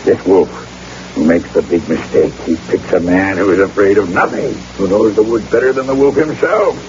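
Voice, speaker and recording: An actor playing a boastful backwoods guide with a French-Canadian accent, telling yarns theatrically in broken English in an old radio drama. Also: male voice, deep voice, normal speed, lightly acted. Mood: boastful storytelling. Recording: dull, noisy old radio recording.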